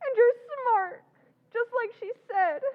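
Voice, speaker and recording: A brittle, tearful young sister from a vintage radio play. Her very high voice breaks into sobs and slow, shaky lines. The sound is tinny and narrow, like an old phone line. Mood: hysterical. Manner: sobbing, hysterical outbursts. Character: Kathy, distraught young sister, brittle and manipulated. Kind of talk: 1940s radio acting. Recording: tinny narrow-band recording.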